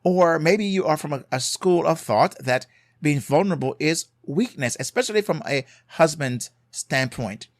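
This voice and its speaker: A man's voice: a little high, animated, moderate pace, no echo, clean studio sound. A man with a Jamaican accent hosting a solo podcast, giving earnest marriage advice, stressing key points by repeating them and checking in with "right?".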